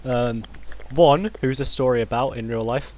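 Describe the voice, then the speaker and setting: A man's voice: medium pitch, moderate pace, a little mellow, no room sound. A young adult man casually moderating a group chat on a stream.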